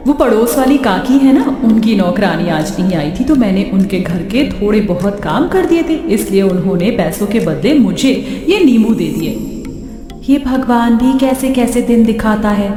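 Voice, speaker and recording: A woman's voice: fairly high pitch, mellow, lightly acted. A woman voicing village housewives in a cartoon story, theatrical and complaining. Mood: weary, grateful. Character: poor village daughters-in-law and neighbours, dramatic and plaintive. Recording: very echoey hall reverb. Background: faint music.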